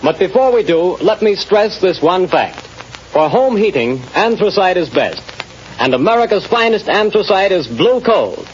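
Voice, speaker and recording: A dull, faintly hissy old radio recording carries a male announcer. He delivers a scripted sales pitch in a high, emphatic, formal 1930s broadcast style. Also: big pitch swings, moderate pace.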